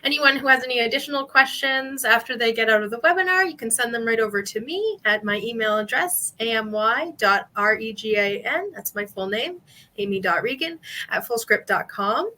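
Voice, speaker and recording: Bright high-voiced woman moderator. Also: animated. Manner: friendly, brisk announcements. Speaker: webinar moderator. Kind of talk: webinar closing.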